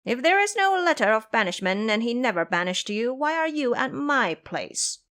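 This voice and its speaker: A proud monkey king performed by a woman in an audio drama. He speaks high and swooping, haughty and teasing, as one used to giving orders. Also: sing-song, normal speed, fairly bright tone. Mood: interrogating. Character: proud, sharp, mocking male monkey king.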